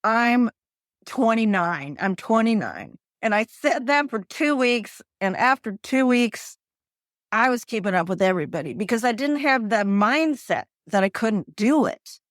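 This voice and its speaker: A woman in her fifties, a pickleball coach and author, telling animated stories as a podcast guest and laughing often. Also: on the high side, a little slow, no room sound, clean studio sound. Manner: animated storytelling, laughs often, acts out her own quotes.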